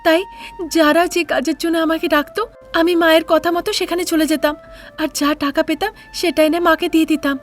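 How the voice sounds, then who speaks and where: A woman's voice: extremely high pitch, little pitch movement, fairly quick, faint music behind, voice pushed up. A woman voicing an honest, earnest young daughter-in-law in a cartoon tale, plaintive and pleading. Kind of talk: cartoon fairy-tale character acting.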